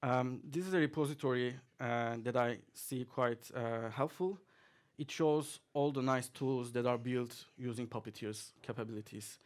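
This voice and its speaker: A man talks through a tech demo at a conference, at an everyday middle pitch. He is relaxed and chatty, leaning on fillers and chuckling now and then as he goes. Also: normal speed. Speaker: conference speaker. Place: conference hall, audience present.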